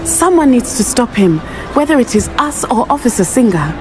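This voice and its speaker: A woman playing a bold, earnest teenage witch student in a video game, speaking deliberately and formally. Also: a little high, sing-song. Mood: grave, wistful. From southern Africa. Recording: music behind the voice.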